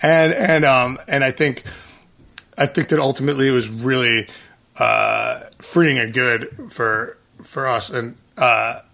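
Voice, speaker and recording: A man's voice: medium pitch, steady tone, unhurried, vocal fry, pushed and bright. A man, a band's singer, chatting casually with lots of restarts and fillers. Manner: rambling, many restarts and fillers. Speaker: singer in a band, guest. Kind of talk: casual conversation.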